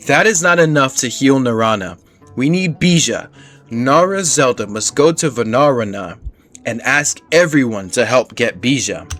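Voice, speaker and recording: A man voicing a small, gentle forest spirit from a game, earnest and solemn, in simple broken phrasing. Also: medium pitch, animated, moderate pace, soft background music, close to an everyday voice.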